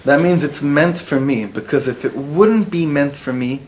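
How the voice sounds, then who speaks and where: A man's voice: on the high side, normal speed, darkish tone, a little hiss or hum. A man, a rabbi, teaching a live class to an audience in the room, mixing Hebrew and Yiddish words into his talk and putting questions to his listeners. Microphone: recorded for web students.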